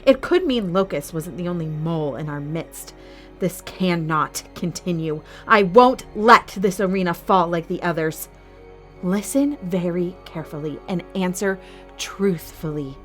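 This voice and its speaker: A low-pitched, commanding female arena master played by a woman in an audio drama. Her delivery is formal and clipped, with a cold, suspicious and ruthless edge. Soft music runs underneath. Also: a little slow, natural voice. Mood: menacing, suspicious.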